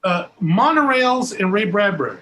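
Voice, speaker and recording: A middle-aged Californian American man with a fairly high voice moderates a panel casually. He is on a video call, with some light noise in the sound. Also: sing-song, moderate pace, sharp tone. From Southern California, US. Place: home room, video call.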